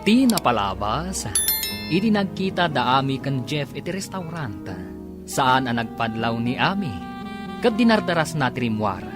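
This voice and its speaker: Animated Ilocano male radio drama announcer. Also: mid-range voice, a little slow. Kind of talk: dramatic scripted narration. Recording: music behind the voice.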